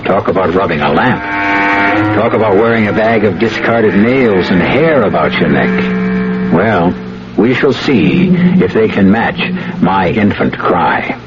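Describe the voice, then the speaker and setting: An actor playing a weary, wry priest who muses in a dry, theatrical way in a 1970s radio drama. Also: male voice, on the low side, lively intonation, measured pace, very noisy. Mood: wry, rueful. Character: weary, wry male village priest, pondering his life. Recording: muffled old radio broadcast, loud music.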